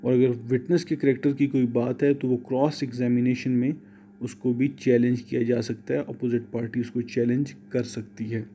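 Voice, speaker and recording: A man with a Pakistani accent lecturing on law, reading legal text and explaining it deliberately, switching between two languages. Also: medium pitch, level tone, warm tone, a little hiss or hum.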